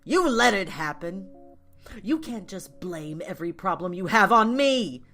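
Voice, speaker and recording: A woman's voice: medium pitch, sing-song, unhurried, sharp tone, faint music behind, exaggerated voice. A woman playing a bratty, spiteful monstrous aunt in a horror audio story, whining and hissing theatrically. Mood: indignant fury.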